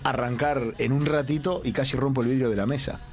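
A man on a casual panel chat, telling a story in a reflective, measured way. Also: medium pitch, steady tone, creaky, soft background music. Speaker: TV presenter guest.

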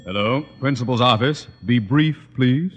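An actor playing a pompous, blustering older school principal with florid, theatrical delivery in an old-time radio comedy. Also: male voice, medium pitch, moderate pace, dull audio, very noisy, lightly acted. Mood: officious. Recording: old radio broadcast, reverberant hall.

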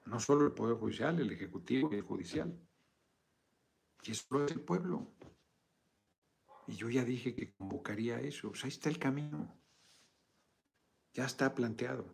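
A man's voice: medium pitch, animated, moderate pace, creaky, pauses often, slight background noise. A middle-aged man hosting a casual political video chat, answering viewers in an emphatic, insistent way. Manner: emphatic, argumentative, repeats points insistently.

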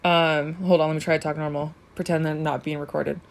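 A woman co-hosting a podcast in easy, casual chat. Her voice sits a little low for a woman, and she talks in a chatty, self-conscious way, full of fillers and restarts. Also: level tone, fast. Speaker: podcast co-host.

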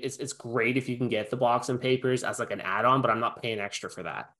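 A man's voice: medium pitch, fairly even intonation, fast talker, bright, forceful tone. A man talking in an easygoing, chatty way as host of a casual conversation.

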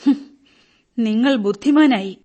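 A woman's voice: fairly high pitch, a little slow, a little mellow. An actress playing a bitter middle-aged wife and protective mother in a radio drama, accusing and defiant. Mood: bitter, sarcastic. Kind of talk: Malayalam radio drama acting.

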